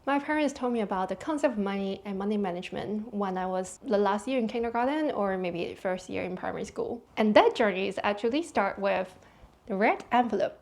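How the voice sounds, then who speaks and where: A woman's voice: mid-range voice, a little mellow. A woman in her thirties from Hong Kong with a Hong Kong accent, a personal finance vlogger chatting casually to camera and laughing lightly between points. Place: London.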